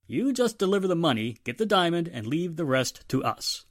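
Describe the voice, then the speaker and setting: A man's voice: a little high, sing-song, moderate pace. A man playing a stern, fatherly police inspector in a 1940s-style radio drama, admonishing and authoritative. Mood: scolding.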